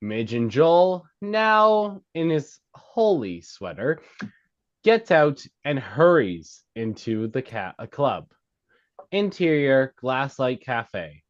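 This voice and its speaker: A man's voice: mid-range voice, big pitch swings, slow. A man narrating, reading out screenplay stage directions at a table read. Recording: dull, lo-fi sound.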